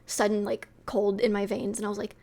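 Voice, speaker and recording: A woman's voice: mid-range voice, flat delivery, brisk, mellow. A young woman with a sweet voice, chatting casually with her stream viewers, rambling with lots of fillers and light laughs.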